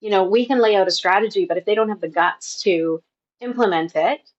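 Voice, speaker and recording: Casting: casual woman interview host, chatty and relaxed, slightly muffled lo-fi call sound. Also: medium pitch, brisk. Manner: casual, chatty, many "like" fillers. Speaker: podcast host and business coach. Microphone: video call setup.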